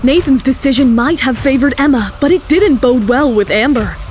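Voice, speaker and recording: A woman's voice: high-pitched, moderate pace, a little mellow, slight background noise. A woman narrator reading scripted drama in a storytelling style. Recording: music bed under the voice.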